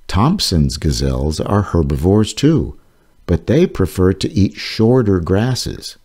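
A man reading his own children's nonfiction book aloud, slowly and carefully. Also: sits low, dark tone. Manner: slow, careful, clearly paced reading. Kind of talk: read-aloud narration for children.

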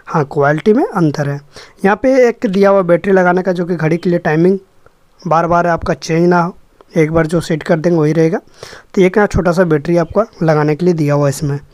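A man's voice: a little high, fairly quick, a little mellow, no echo. Man, young adult, repair vlogger, explanatory and repetitive, dry recording. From Jharkhand, India. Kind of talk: casual hands-on demonstration narration.

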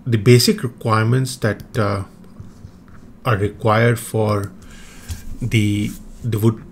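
A low-pitched man, a native Hindi speaker, walks through his own hobby hardware design over a screen recording. He speaks slowly, pausing often with ums and self-corrections. A faint background hiss sits under the voice. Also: steady tone. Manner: hesitant, many ums and restarts. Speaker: maker explaining his own 3D printer design. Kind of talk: screen-recorded technical walkthrough.

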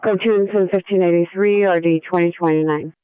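A woman's voice: on the low side, steady tone, fast talker, clean tone, no echo. A woman dispatching in clipped phrases over a police radio. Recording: dull police scanner audio.

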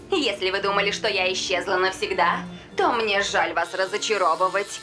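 A smug theatrical villain lady, sharp bright voice, taunting, cartoon. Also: mid-range voice. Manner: mocking, grandiose.

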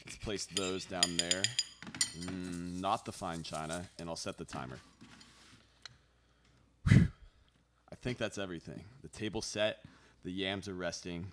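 Young male actor as fussy, put-upon dinner host for radio drama, deep register. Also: moderate pace, fairly bright tone. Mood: musing. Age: young adult. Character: fussy, put-upon twenty-six-year-old son hosting dinner. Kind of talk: live radio theater acting, scripted. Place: small studio, seated at table with others. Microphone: stand microphone up close.

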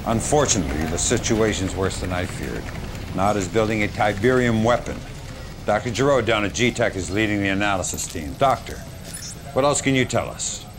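An actor playing a grave military general in a game cutscene, speaking with emphatic authority. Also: male voice, lowish voice, lively intonation, moderate pace, background noise, close to an everyday voice. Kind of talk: dramatic game cutscene briefing.

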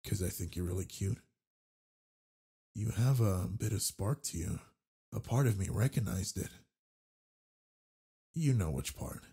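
Gravelly, low werewolf alpha, played by a man. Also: expressive, mellow, full character voice. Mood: tender, confiding. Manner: slow, teasing, flirtatious. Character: gruff, flirtatious male werewolf alpha, confident and tender. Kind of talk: intimate roleplay audio drama, spoken to the listener.

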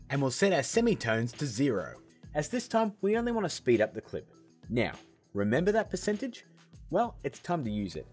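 A man hosting a software tutorial, explaining steps in a casual, upbeat way. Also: fairly high pitch, slightly rough. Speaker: video tutorial host.

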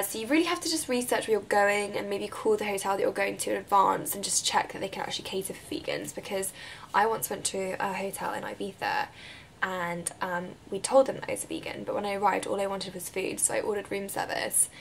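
A woman in her twenties with a croaky voice from a recent illness, chatting casually as she answers viewer questions to camera in her bedroom. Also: mid-range voice, slight background noise, low-quality recording. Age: 20s. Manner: chatty, relaxed, drifts into breathy whispers. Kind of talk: casual Q&A vlog. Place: bedroom, indoors. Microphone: camera mic at close range.

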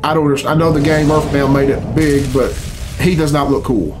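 A man's voice: on the high side, steady tone, brisk, noisy background. A man in his thirties giving blunt, grumbling, profane off-the-cuff reaction commentary in a casual style. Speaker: reaction video host. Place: small furnished home room indoors. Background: game cutscene music.

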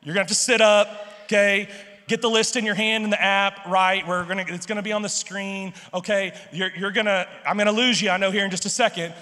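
High-voiced emphatic male pastor preaching. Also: fairly even intonation, brisk, bright, forceful tone. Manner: emphatic, conversational, repeats words, frequent "okay?" and "right?". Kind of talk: sermon. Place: large church stage with purple lights. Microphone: handheld mic. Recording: long hall reverb.

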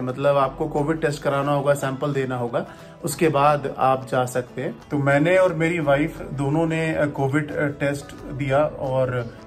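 A man in his thirties vlogging about a road trip, talking to a phone held at arm's length and pausing often with "uh". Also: medium pitch. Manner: chatty, with frequent "uh" pauses. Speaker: travel vlogger. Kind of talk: casual vlog narration to camera. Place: hotel room, indoors.

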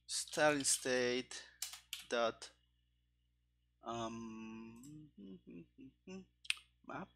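A man in his thirties talking through live coding in a halting, muttering way, into a headset. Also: medium pitch, fairly even intonation, a bit bright, slight background noise. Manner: halting, muttering to himself while working. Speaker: programmer, host of coding stream. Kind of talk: live coding commentary, thinking aloud. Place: indoor room at a computer desk. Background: keyboard typing and clicking.

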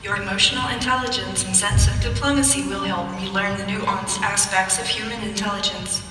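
A woman's voice: medium pitch, steady tone, brisk, over music. A woman speaking in a formal, prepared way, as in a public conversation on stage. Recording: distant, very echoey, noisy event playback.

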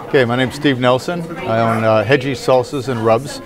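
Flat-voiced middle-aged man over crowd. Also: medium pitch, normal speed. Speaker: salsa vendor. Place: indoor market hall. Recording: crowd chatter behind.

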